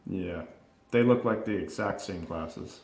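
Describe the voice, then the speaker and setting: Low-voiced wry man in a roomy space. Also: a little slow, a little mellow. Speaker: co-host of a film review show. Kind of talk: casual chat, film review banter. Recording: roomy, echoing sound.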